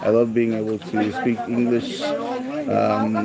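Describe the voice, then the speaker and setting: A man in his thirties chatting casually as a vlogger, unhurried and hesitant with lots of ums and uhs. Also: lowish voice, wildly swooping pitch, vocal fry. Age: 30s. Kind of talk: casual chat about living costs abroad. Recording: loud crowd chatter in a large hall.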